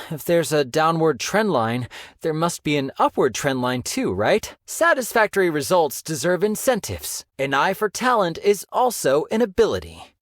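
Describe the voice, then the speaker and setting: Slick sly stockbroker dude, polite but kinda menacing, bouncy lively pitch. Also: male voice, no room sound, clean studio sound. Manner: suave, polite yet menacing, business jargon. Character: smooth scheming young male stockbroker, businesslike, sly. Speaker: game character voice lines. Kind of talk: studio game voice acting. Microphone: close studio mic.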